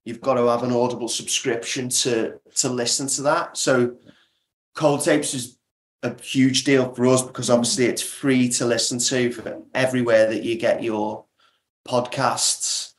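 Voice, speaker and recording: A man's voice: mid-range voice, flat delivery, measured pace. A man speaking as an interview guest, explaining his work as a producer in casual talk. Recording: roomy, echoey sound.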